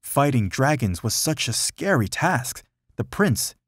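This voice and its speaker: A man reading a rhyming children's picture book aloud in an expressive, dramatic storytelling manner. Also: mid-range voice, a little slow, husky, dry recording, clean studio sound. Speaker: storybook reader.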